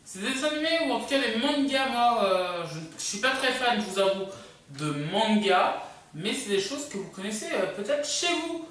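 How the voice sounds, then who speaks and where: The voice comes through with heavy room echo, a little far from the microphone. It belongs to a video host with very animated pitch, talking off the cuff in a hesitant, rambling way. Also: normal speed. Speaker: video host presenting a countdown. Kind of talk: casual unscripted presenting to camera. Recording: echoey room, distant microphone.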